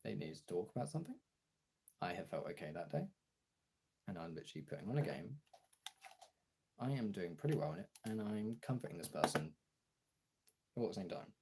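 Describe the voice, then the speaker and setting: A young man streamer talking casually and frankly in a gravelly, husky voice, halting at times and swearing freely. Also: low voice, big pitch swings, dark tone, slight background noise. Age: young adult. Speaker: streamer and voice actor chatting to followers. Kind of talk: casual candid chat.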